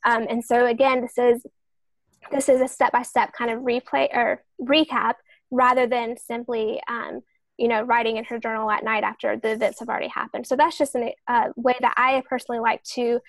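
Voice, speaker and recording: A woman's voice: fairly high pitch, level tone, brisk, dry recording, low-quality recording. A woman author on a podcast panel, explaining writing tips in an earnest, explanatory way.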